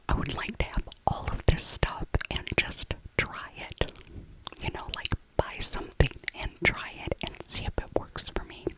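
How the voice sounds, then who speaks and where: A middle-aged woman speaking in a breathy whisper, narrating an ASMR shopping voiceover in a rambling way and softly repeating her phrases. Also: poor audio. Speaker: ASMR video creator.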